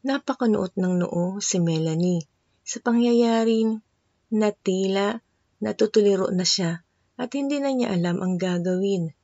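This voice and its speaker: A woman narrates a scripted love story in a medium-pitched voice. She is recorded close and dry, as a voice-over. The audio is slightly dull and lo-fi. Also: unhurried, mellow, dry recording.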